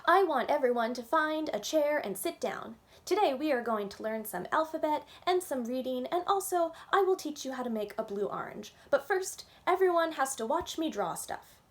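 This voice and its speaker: A woman plays a little kindergarten girl from a storybook, bossy and naive. Her pitch jumps up high as she declares things with blunt, childish emphasis. She is chatty and dramatic. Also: lively intonation, normal speed, hardly any pauses. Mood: bossy, showy. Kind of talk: children's storybook read aloud in character.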